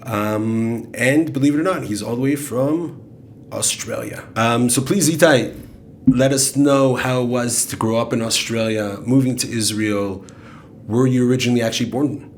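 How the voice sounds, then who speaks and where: A man's voice: mid-range voice, moderate pace. A man with a mid-range voice hosts a podcast interview. He introduces the guest and asks questions, chiming in with short, friendly affirmations. A faint hiss runs underneath. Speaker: podcast host.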